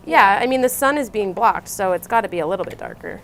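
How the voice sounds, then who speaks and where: A woman's voice: medium pitch, animated, fast. A woman co-hosting a craft show, demonstrating steps casually and chatting around a table indoors. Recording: noisy room with table sounds.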